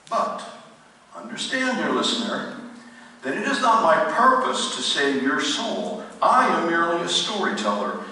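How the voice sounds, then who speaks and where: An actor playing the ghost of a solemn, morbid storyteller, narrating a radio play in formal, grandiloquent phrases with deliberate dramatic pauses. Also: male voice, fairly high pitch, bright, forceful tone, full character voice. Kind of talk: theatrical radio play narration to a live listener. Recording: distant echoey hall, faint noise.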